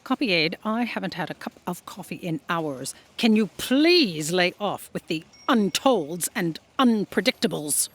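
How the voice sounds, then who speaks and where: A woman's voice: lowish voice, expressive, unhurried, hardly any pauses. A woman playing an imperious boss who orders an aide around in a sci-fi audio comedy. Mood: demanding.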